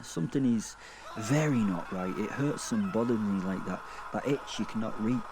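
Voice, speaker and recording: A man voicing a crude, sardonic werewolf who narrates a dark fantasy audio drama in a halting, deadpan way. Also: lowish voice, background noise, lightly acted. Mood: wry, musing. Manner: sweary, deadpan, wry, halting. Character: crude, sardonic male werewolf narrating his own afterlife.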